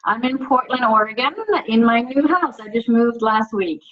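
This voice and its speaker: A woman's voice: a little high, normal speed. A woman from Denmark, a workshop facilitator presenting a webinar over a video call, chatty and asking the audience questions. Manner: chatty, restarts often, lively questions to the audience. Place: home. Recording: slightly muffled video call audio.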